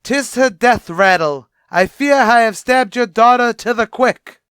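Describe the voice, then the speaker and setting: A man's voice: extremely high pitch, unhurried, fairly bright tone, clean tone, no echo, clean studio sound. An actor playing a brash male bar manager in an old-time radio comedy, declaiming in hammy mock-Shakespearean style. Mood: pompous.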